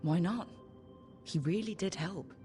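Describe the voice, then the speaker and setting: An actress plays a young woman leading heroes in a dramatic fantasy game. Her voice sits low, and she speaks in an earnest, plain, rallying way. Music plays softly underneath. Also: unhurried, close to an everyday voice. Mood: probing, sympathetic.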